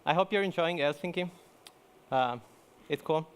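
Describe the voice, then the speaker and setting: A young man speaks to a conference audience in a relaxed, joking way. His voice sits a little high, and he often restarts his sentences and fills pauses with "uh" and "um". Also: clean tone. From Italy. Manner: chatty, many fillers and restarts. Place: conference hall.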